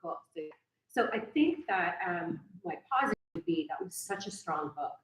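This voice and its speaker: A woman's voice: mid-range voice, sing-song, normal speed. Woman giving casual feedback, echoey room.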